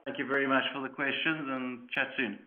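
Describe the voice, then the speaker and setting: Male company chief for earnings call Q&A, mid-pitched, measured and hesitant, muffled phone-line sound. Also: level tone. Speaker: company chief executive answering investor questions. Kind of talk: earnings call question and answer. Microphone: conference call line.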